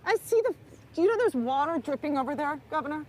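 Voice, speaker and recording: A woman's voice: high-pitched, lively intonation, fast, noisy background. A distressed woman, outdoors on a street, insistently pleading and interrupting as she confronts an official.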